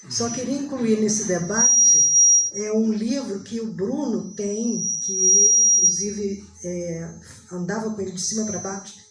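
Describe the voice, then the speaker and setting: A woman from Brazil with a Brazilian Portuguese accent, speaking from the audience slowly and searching for words. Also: mid-range voice. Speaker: audience member. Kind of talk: public comment at a debate. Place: event hall. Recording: distant mic in an echoey hall with loud noise.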